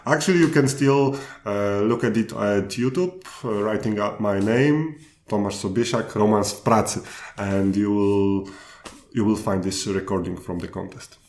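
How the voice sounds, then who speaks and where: A man with a Polish accent answering interview questions in unhurried, halting English, starting sentences over now and then. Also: on the low side, a little mellow, echoey room. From Poland. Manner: slow, halting answers with restarts. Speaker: guest speaker. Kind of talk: podcast interview.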